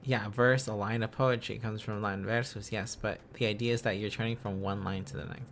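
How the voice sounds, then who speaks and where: A man's voice: on the low side, steady tone, fairly quick, fairly bright tone, slight background noise. A man hosting a livestream, chatting casually in an enthusiastic, rambling, giggly manner. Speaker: livestream host. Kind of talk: casual livestream chat while learning Korean script.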